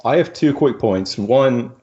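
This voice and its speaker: A man's voice: medium pitch, animated, measured pace, warm tone, muffled recording. A man hosting a podcast in casual discussion, often repeating and restarting his words. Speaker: podcast host.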